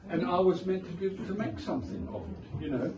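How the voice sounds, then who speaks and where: A man with a South African accent speaks at a gallery launch, drifting from one wry anecdote to the next. His voice is a little high and swings freely in pitch at an unhurried pace. He is picked up from a distance in an echoey, noisy room. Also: big pitch swings, warm tone. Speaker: author giving a launch talk. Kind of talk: informal speech to a crowd. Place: art gallery, indoors.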